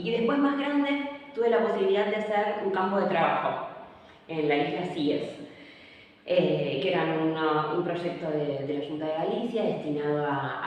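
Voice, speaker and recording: A woman's voice: sits low, a little mellow. Hesitant woman in an echoey room. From Argentina. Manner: hesitant, many fillers and restarts. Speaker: interviewee. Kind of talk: casual interview talk. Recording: echoey room, far from mic, faint noise.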